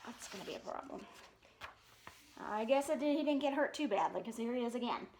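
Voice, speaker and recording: A woman reading a children's picture book aloud in an expressive, sing-song way, pausing for chatty questions to young listeners. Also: on the high side, roomy sound, slight background noise. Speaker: storytime reader.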